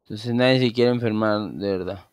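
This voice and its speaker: A man's voice: lowish voice, normal speed, clean tone, no echo. A man in his thirties with a Venezuelan Spanish accent, chatting casually while streaming a game and rambling with easy restarts. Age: 30s. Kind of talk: casual gameplay chat. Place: small room at home. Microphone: headset.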